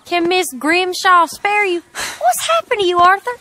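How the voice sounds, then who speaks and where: A woman's voice: very high voice, big pitch swings, slow, sharp tone, dry recording, heavily acted. An actress playing a brash, defiant young outlaw woman in an Old West game. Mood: mocking. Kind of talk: video game cutscene acting.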